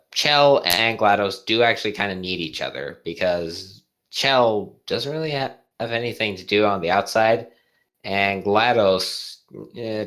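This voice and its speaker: A person reading fan fiction aloud and chatting about it casually, often halting and stumbling over words. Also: low-pitched, a bit bright. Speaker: fan fiction reader and co-host. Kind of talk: casual commentary and read-aloud.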